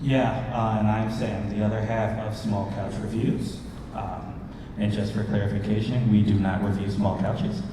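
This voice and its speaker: A man's voice: lowish voice, flat delivery, normal speed. A man in his thirties co-hosting a casual convention panel, talking in an informal, joking way. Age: 30s. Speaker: panel co-host, movie reviewer. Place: convention panel room with audience, indoors. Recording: echoey hall from far off, noisy.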